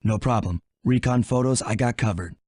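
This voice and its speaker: A man's voice: mid-range voice, normal speed, a little mellow, slightly rough, no echo, clean studio sound, natural voice. A man playing a cool, wisecracking master thief in a video game, with a wry, laid-back delivery.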